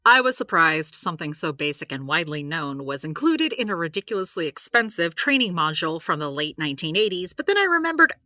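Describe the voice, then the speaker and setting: A woman playing a brisk, practical supernatural healer in an audio drama, chatty and explanatory with wry asides. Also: on the low side, sing-song, sharp tone, no echo, studio quality. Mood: wry, exasperated. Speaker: healer giving therapy advice. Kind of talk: audio drama dialogue, conversational.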